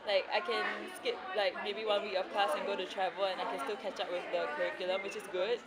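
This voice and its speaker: A woman in her twenties from Singapore, chatty and lively in a casual interview. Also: medium pitch, fast talker, a loud crowd. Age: 20s.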